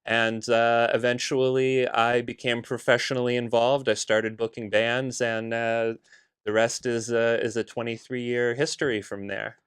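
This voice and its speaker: Give me a middle-aged Bahamian man being interviewed. His voice is smooth and resonant, at a middling pitch for a man. He tells his story in a relaxed, rambling way, full of little fillers. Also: a little slow. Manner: relaxed storytelling with frequent "uh" and "you know". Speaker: guest, concert promoter. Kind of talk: casual interview conversation.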